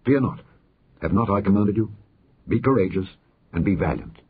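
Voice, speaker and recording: A man's voice: on the low side, lively intonation, normal speed, breathy edge. A man reading aloud lifts his voice a little for an insistent young prince giving orders. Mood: urging. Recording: muffled phone-like audio.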